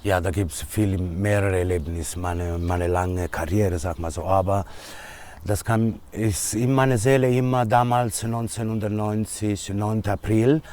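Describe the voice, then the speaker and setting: Italian man, middle-aged, low voice, broken German, relaxed interview answers. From Italy. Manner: halting, searching for words in German. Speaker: DJ and music producer.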